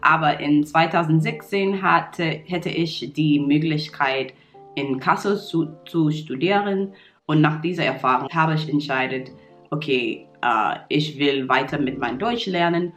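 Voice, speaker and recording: A woman's voice: low-pitched, a little slow. A woman in her twenties from New York with an American English accent, talking casually to the camera in learner's German with small slips and fillers. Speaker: vlogger. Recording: roomy echo, soft guitar music.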